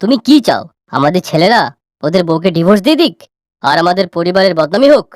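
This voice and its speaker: A woman's voice: lowish voice, animated, fast talker, no room sound, clean studio sound, lightly acted. A woman voicing a firm, fair-minded middle-aged father in a cartoon. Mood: reproving. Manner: reproving, insistent.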